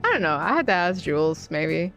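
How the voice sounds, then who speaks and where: A woman's voice: fairly low pitch, very animated, moderate pace, a bit bright, soft background music. A young Canadian woman streaming a game, chatting casually with lots of fillers, restarts and laughter. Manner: rambling, many fillers and restarts, laughs often. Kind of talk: casual chat while gaming.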